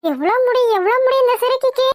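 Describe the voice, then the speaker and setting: A woman's voice: very high-pitched, lively intonation, fast talker, pitched above the performer's own voice. A woman voicing a bossy, jealous old grandmother who nags and scolds. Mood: scolding. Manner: nagging, scolding. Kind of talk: comedy skit.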